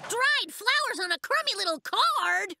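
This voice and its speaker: A performer voicing an excitable, impatient little boy bear cub in a children's cartoon, with a dramatic, pleading, exaggerated delivery. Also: extremely high pitch, measured pace, creaky, slight background noise, full character voice. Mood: crushed disappointment. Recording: loud children's music behind.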